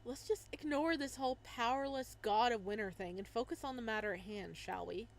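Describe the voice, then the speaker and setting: A woman's voice: a little high, a little fast, natural voice, low-quality recording. A woman playing a dry, skeptical young florist man. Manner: skeptical, deadpan.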